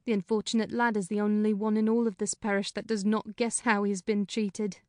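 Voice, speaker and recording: A man's voice: sits high, level tone, dry recording, studio quality, voice pushed up, lightly acted. A man voicing a talkative middle-aged female housekeeper in a plain, frank way in a storybook reading. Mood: chatty. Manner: brisk, talkative, plain-spoken. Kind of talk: audiobook character voice.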